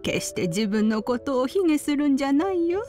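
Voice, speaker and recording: A woman's voice: sits high, big pitch swings, normal speed. An actress playing a wise, kindly old grandmother in a video game, with archaic phrasing and a nostalgic air. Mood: gentle, encouraging. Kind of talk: video game character dialogue. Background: background music.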